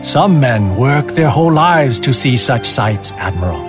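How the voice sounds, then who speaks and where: An actor playing an earnest, honorable Chinese fleet captain with a measured, formal delivery in a game cutscene. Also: male voice, medium pitch, expressive, natural voice. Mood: grave, restrained. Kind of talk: dramatic game cutscene acting. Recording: game audio with music behind.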